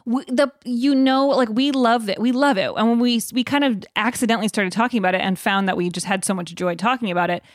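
A woman's voice: on the high side, quick pace, few pauses, no room sound, clean studio sound. A woman co-hosting a podcast in casual, enthusiastic, giggly chat. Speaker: podcast co-host.

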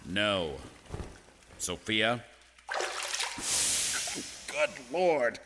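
A man's voice: lowish voice, sing-song, drawn-out words, very noisy, exaggerated voice. A man playing a peevish, easily flustered board director in an audio drama, fussy and exasperated.

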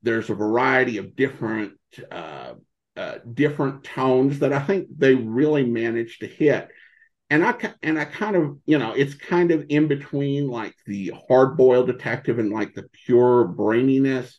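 Male podcast guest, medium-pitched, conversational and halting, slightly muffled lo-fi recording. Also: animated. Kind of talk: casual interview chat about old radio shows.

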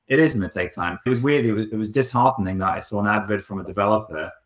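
Male studio director guest, low voice, thoughtful explainer, roomy echo. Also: fast. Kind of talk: conversational interview answers.

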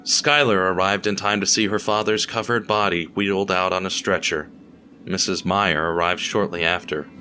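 A man reading scripted dramatic story narration in a measured, deliberate storytelling manner. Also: sits low.

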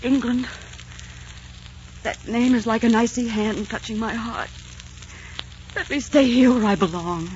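A woman's voice: on the high side, unhurried, pauses often, a little mellow, slight background noise, close to an everyday voice. A woman playing a devoted, proud and sorrowful queen in a 1940s radio romance, with theatrical, earnest, formal phrasing. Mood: proud disbelief. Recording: muffled 1940s radio recording with music.

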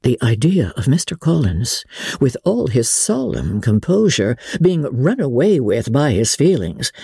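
A woman narrating a classic novel as a dramatic audiobook, with measured, theatrical, deliberate phrasing. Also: a bass voice, big pitch swings, gravelly, warm tone, slightly rough, no room sound, studio quality. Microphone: close studio microphone.

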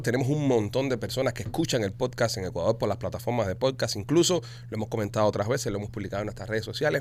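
A Cuban man hosting a podcast, rattling off sponsor reads and questions at a fast clip. His talk is chatty and salesy. A faint hum sits under the voice. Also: medium pitch. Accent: Cuban Spanish. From Cuba. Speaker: podcast host.

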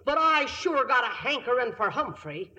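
A woman's voice: on the high side, very animated, normal speed, sharp tone, dull audio. An actress playing a scheming, folksy American mother in 1950s radio comedy, blunt and slangy. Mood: eager, scheming. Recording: vintage 1950s radio broadcast.

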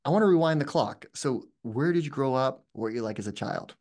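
A man's voice: medium pitch, animated, fairly quick, darkish tone. A man hosting a podcast, asking questions in a chatty, loose way, with fillers like "like" and "you know". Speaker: podcast host. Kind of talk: casual interview conversation.

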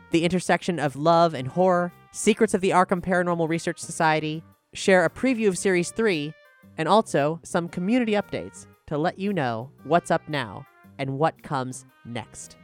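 A woman's voice: on the low side, moderate pace, faint music behind. A woman hosting as showrunner, introducing and chatting about the series. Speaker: showrunner and host. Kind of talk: scripted intro and casual discussion.

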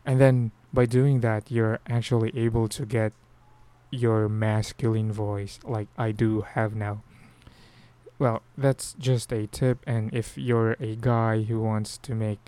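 A man's voice: lowish voice, dark tone, clean tone. A Filipino man with a Filipino accent, hosting a podcast in casual solo talk, rambling with frequent restarts and fillers. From Philippines. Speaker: podcast host.

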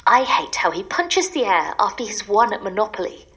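An exasperated, animated wife. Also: female voice, mid-range voice, normal speed, low-quality recording. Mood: bitter, exasperated. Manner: ranting in long bitter lists, sometimes dropping to a secretive whisper. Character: exasperated, desperate wife sick of her husband, pleading to disappear. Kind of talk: audio drama acting.

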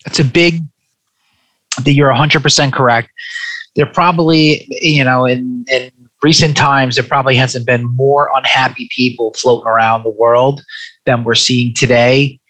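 A man's voice: medium pitch, fairly even intonation, moderate pace, a bit bright, poor audio. Man in his forties, emphatic conversational talker. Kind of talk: reflective conversational interview talk.

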